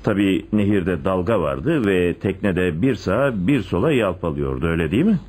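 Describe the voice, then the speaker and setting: A scheming police chief in a radio play, really low voice, swoops around, deliberate and probing. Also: male voice, expressive, a little mellow, husky. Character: calculating police chief secretly in love with a suspect. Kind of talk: radio drama acting. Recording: radio drama with audible background noise.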